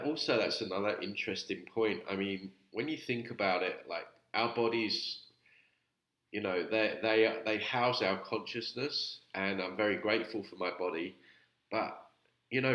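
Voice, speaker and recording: A man with a cold gives loose, rambling commentary full of fillers, his voice audibly nasal. His tone is bright and forceful over a low pitch. The room adds a noticeable echo. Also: normal speed, highs cut off. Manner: chatty, rambling, with many fillers. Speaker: YouTube channel host reviewing videos. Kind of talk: casual vlog commentary.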